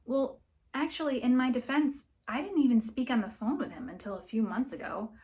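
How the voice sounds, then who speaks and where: A woman's voice: high voice, a little fast, dark tone, voice pushed up, natural voice. Woman reading as an apologetic, sheepish boyfriend. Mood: earnest apology. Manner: hesitant, placating, earnest.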